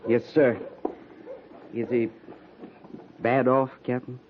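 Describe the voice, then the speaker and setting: An American actor playing a deferential, folksy cavalry sergeant in a 1950s radio western. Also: male voice, medium pitch, little pitch movement, slow, background noise, natural voice. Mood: wry, respectful. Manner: folksy, respectful. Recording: muffled 1950s radio recording.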